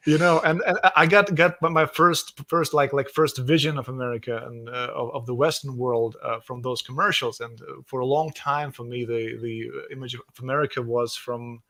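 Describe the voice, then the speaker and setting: A man's voice: mid-range voice, fairly even intonation, moderate pace, few pauses, pushed and bright, no echo. A man from Russia with a Russian accent, speaking as an author guest in a casual video call interview, enthusiastic and often repeating words as he searches for phrasing.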